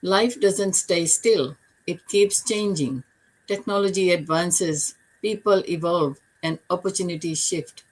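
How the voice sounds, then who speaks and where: An older woman from Fiji who speaks as a panelist, earnest and steady. Her voice sits a little low. She delivers encouragement in measured phrases. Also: slightly rough, low-quality recording. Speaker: panelist, retired business mentor. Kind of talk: online panel talk.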